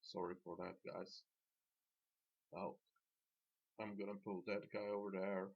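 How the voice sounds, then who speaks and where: A man with a Slovak accent giving halting, plain-spoken, unscripted commentary as he guides viewers through a video game. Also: low-pitched, level tone, gravelly, breathy edge. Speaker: gamer presenting a dungeon tanking guide. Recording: dull lo-fi voice track.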